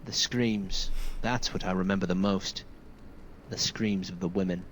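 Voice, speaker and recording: Solemn, low-voiced wounded soldier. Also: male voice, fairly even intonation, measured pace, a little hiss or hum, poor audio. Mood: anguished, grim. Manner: solemn, formal, deferential. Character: wounded, grieving male soldier recounting a massacre. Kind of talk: dramatic video game dialogue.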